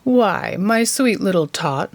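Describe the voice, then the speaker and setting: Woman putting on a slow, scheming old grouch creature. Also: mid-range voice, lively intonation, dry recording. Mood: falsely sweet.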